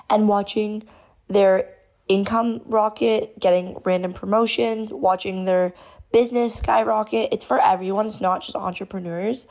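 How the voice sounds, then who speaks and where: A woman hosting her own podcast, talking casually and effusively with a hoarse voice she has lost. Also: mid-range voice, a little mellow. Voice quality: gravelly, strained. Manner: bubbly, effusive. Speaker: podcast host.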